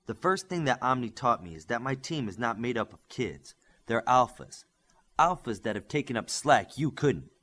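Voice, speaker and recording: A young superhero team leader, played by a male reader, defiant and determined in an audiobook. The voice is slightly husky and lively in pitch, with a firm, unflinching delivery. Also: moderate pace.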